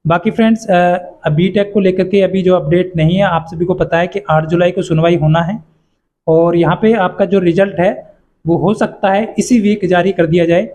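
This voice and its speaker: A man's voice: sits high, steady tone, normal speed, mellow. A man presents an informal exam update. He explains things step by step in an instructive, reassuring manner. He tends to repeat his points for the listeners.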